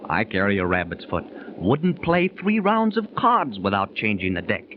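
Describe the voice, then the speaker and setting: A brash, eager gambler. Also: male voice, on the low side, very animated, unhurried, slight background noise. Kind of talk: 1930s radio drama acting. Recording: muffled 1930s radio transcription.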